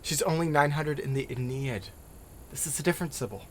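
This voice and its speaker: A man's voice: a little high, brisk, slight background noise, lightly acted. A man playing a dry-witted, guarded scholar of prophecies in an audio drama, speaking in short, natural replies. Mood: dry, hushed. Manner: terse, dry, clipped replies.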